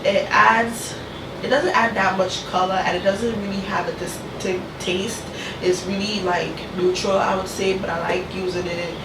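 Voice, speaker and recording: A woman hosts a casual cooking vlog, her voice stuffy and nasal from a cold. She keeps checking in with her viewers with "you guys" and "okay". She is recorded from a distance in an echoey kitchen, with a clear hum of room noise behind her. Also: medium pitch, fairly even intonation, unhurried. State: congested from a cold, talking through her nose. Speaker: cooking channel host. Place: home kitchen.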